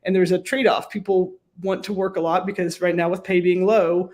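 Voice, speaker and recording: A woman with an American accent, a professor and researcher, giving measured, explanatory interview answers with emphatic lists. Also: fairly low pitch, level tone, darkish tone.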